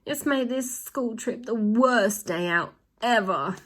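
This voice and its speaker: Lady doing a snivelling posh kid in a storybook, wailing and whiny, sharp voice. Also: on the high side, slow pace, pitched above the performer's own voice. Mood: whining, miserable. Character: gang of sobbing, blubbering posh schoolchildren.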